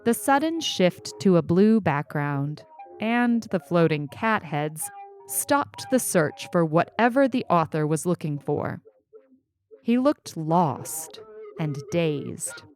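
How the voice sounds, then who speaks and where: A woman reads a short story aloud in a slightly low voice, with lively, swooping intonation. Her pace is unhurried, and music plays softly behind her. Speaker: story reader on a fiction podcast. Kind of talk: scripted audiobook narration.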